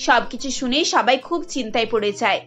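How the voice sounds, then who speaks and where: A woman narrates a cartoon story in a steady, scripted way. Her voice sits high. Soft music plays underneath. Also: fairly quick.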